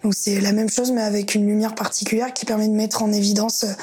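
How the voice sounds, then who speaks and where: Young woman w/ a super breathy husky voice, talks slow n hesitant, lots of ums. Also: mid-range voice, little pitch movement, darkish tone. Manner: hesitant, many fillers, reflective. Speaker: interviewee. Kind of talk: personal testimony interview.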